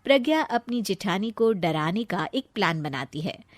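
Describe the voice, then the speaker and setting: A woman's voice: medium pitch, expressive, moderate pace, no room sound. A woman narrating a scripted family story as the storyteller.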